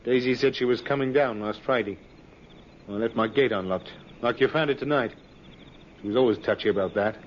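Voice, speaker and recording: An actor playing a curt, suspicious chicken farmer in an old-time radio drama. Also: male voice, mid-range voice, brisk, lightly acted. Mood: guarded, uneasy. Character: guarded, gruff male rural chicken farmer. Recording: muffled, noisy old radio recording.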